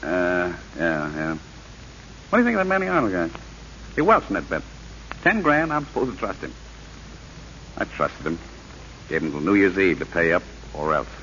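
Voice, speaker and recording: A man's voice: sits low, huge pitch swings, moderate pace, long pauses, a bit bright, full character voice. An actor playing a tough, cocky gambler with slangy tough-guy talk in an old radio drama, on a muffled recording with hiss. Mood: evasive, hard. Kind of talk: 1930s-40s radio drama acting.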